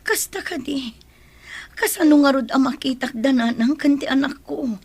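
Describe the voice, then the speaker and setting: A woman playing an anguished, remorseful mother in a radio drama, speaking in a husky, plaintive, earnest voice. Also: high voice, normal speed, darkish tone, no echo. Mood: anxious. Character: anguished, remorseful mother longing for her children. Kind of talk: Ilocano radio drama acting.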